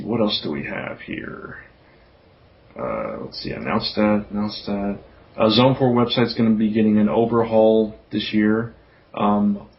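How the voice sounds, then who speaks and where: A man hosting a podcast in casual chat, talking in an informal, rambling way. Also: on the low side. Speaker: podcast host. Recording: dull, lo-fi podcast audio.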